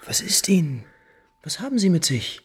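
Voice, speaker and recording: An actor playing a smooth, scheming prince in a 1950s German radio drama, speaking in a courtly, condescending, declamatory way. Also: male voice, fairly high pitch, very animated, normal speed, full character voice. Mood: feigned concern. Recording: vintage 1950s radio recording.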